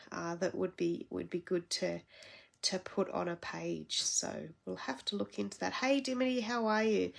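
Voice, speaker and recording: Australian woman, congested nose, gravelly voice, rambling casual live-stream chat. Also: medium pitch. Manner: rambling, chatty, many fillers and restarts. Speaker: crafting demonstrator hosting a live stream.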